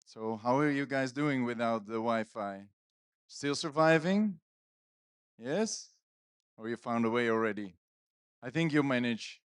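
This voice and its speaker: Man, conference host, unhurried pace, dry close sound, casual joking banter, stage microphone. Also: medium pitch, expressive, smooth, dry recording. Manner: joking, teasing banter with audience and guest. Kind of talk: casual live stage hosting. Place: conference stage at live event. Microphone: handheld stage microphone.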